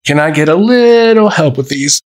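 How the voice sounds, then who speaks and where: Guy doing sweet confident date dude in a story podcast, husky breathy voice, playful and a bit naughty. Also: fairly low pitch, unhurried. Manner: gentle, mischievous.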